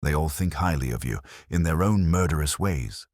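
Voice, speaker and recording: A needling private investigator , read by a man talks in a very deep voice. He is sardonic and clipped, with a dry, close audiobook sound. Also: animated, normal speed, a little mellow, no echo. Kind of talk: audiobook character dialogue.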